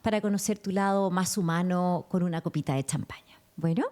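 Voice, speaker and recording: A woman hosting an interview podcast, greeting and questioning her guest in an effusive, expressive way. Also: fairly low pitch, a little fast. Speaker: podcast host. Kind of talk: conversational interview.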